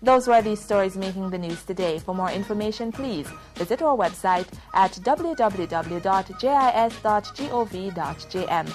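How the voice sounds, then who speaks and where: A woman with a Jamaican accent reading scripted news bulletins in a crisp, formal delivery. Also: mid-range voice, expressive, moderate pace, hardly any pauses, a little mellow, faint music behind. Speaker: news presenter.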